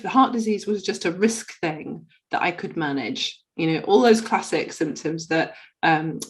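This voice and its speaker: A woman in her forties on a video call, sounding a bit nasal from a cold, chatting casually with many ums and restarts. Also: lowish voice. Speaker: guest speaker. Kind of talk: casual personal talk.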